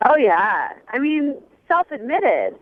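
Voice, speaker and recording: High-voiced young woman on a phone line. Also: huge pitch swings, slow pace. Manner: sassy, candid, wry. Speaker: phone-in guest on a dating segment. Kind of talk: casual phone conversation. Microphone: telephone.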